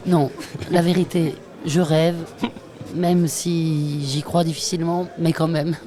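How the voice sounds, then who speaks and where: A woman in casual roundtable talk, halting and self-deprecating, often repeating her words. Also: low-pitched, level tone, warm tone. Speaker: stage actor on a panel. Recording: crowd chatter behind. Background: crowd chatter.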